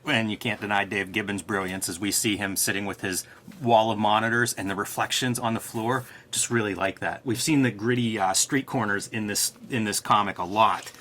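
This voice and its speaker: Bright-voiced man talking comics, slightly noisy room. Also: lowish voice, steady tone, brisk. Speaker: co-host and comics artist. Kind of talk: casual comics discussion.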